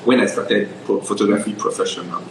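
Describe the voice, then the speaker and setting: A man's voice: on the low side, fairly even intonation, brisk, echoey room, noisy background. A young adult Nigerian man, a photographer guest, telling his story in a casual interview, often checking "do you understand?".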